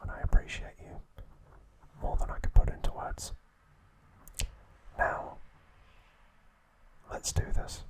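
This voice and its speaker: A man hosting an ASMR video, recapping a story in a soft, unvoiced whisper. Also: slow pace, pauses often. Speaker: ASMR host.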